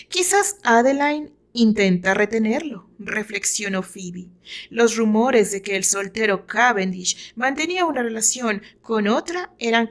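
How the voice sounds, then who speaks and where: A woman with a Mexican accent reading her own fiction aloud as dramatic scripted narration. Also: a little high.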